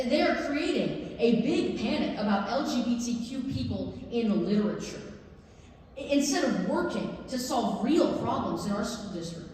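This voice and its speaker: An earnest, urgent woman , played by an actress, delivers a public plea in a deliberate, emphatic way. Her medium-pitched voice rings around a big reverberant theatre, picked up from a distance over faint noise. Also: darkish tone, natural voice. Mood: urgent, indignant. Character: earnest, urgent woman pleading at a school board. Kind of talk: staged reading of a public comment speech. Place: library district theatre stage. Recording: echoey hall, distant mic, faint noise.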